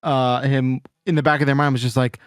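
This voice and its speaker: A man's voice: medium pitch, flat delivery, a little fast, clean tone, no echo. Man, podcast host, dry wit, casual banter, dry close sound. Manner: wry, dry jokes while steering the show. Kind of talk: casual chat.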